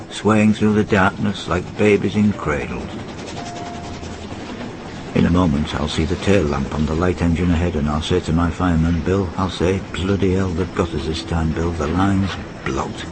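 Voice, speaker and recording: An actor playing a weary, fatalistic middle-aged railwayman in a radio play, speaking reflectively and in measured phrases as if to absent friends. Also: low voice, steady tone, background noise, lightly acted. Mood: grim, resigned. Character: weary veteran railwayman train driver, fatalistic, fond, reflective. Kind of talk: radio drama monologue.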